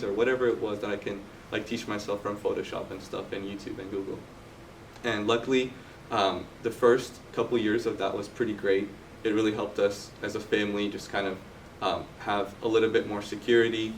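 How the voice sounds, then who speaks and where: A young man from Turkey, telling his story in a relaxed, chatty way with many fillers at a live podcast event. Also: lowish voice. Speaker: guest entrepreneur. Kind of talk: casual storytelling interview. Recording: distant mic with faint background noise.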